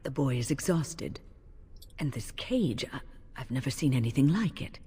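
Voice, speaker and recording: A man acting a grave, reassuring companion in a fantasy video game, with a deep, husky voice. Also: animated, moderate pace, mellow. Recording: long echoing reverb.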